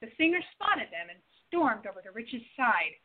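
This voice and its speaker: Female narrator, reads scene directions, slightly high voice, phone-quality sound. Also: sing-song, moderate pace. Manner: reading stage directions aloud. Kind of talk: scripted radio play narration. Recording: tinny phone-like recording.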